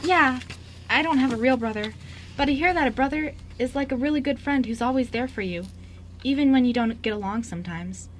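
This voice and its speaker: A woman playing an eager, lonely five-year-old girl in an amateur radio drama, earnest and slightly halting. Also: sits high, lightly acted. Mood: hopeful, excited. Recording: noisy amateur radio recording.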